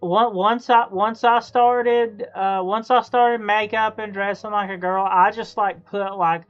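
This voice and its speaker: A man in his thirties chats casually while streaming a game, with a smooth voice and a slow pace. He is halting, often circling back to repeat a phrase. The sound is slightly dull, with faint music underneath. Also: sits high, steady tone. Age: 30s. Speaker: live streamer. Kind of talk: casual chat while gaming.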